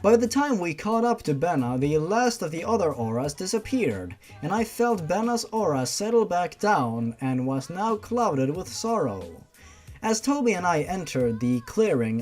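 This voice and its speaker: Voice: medium pitch, very animated, normal speed, a little mellow, faint music behind. A narrator reading a fan fiction story aloud, breaking off into sarcastic, sweary asides.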